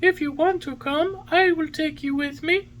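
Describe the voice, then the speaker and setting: A woman's voice: sits high, moderate pace, voice pushed up, close to an everyday voice. A woman voicing a large, helpful male talking pigeon in a children's story, earnest and matter-of-fact. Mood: helpful, calm.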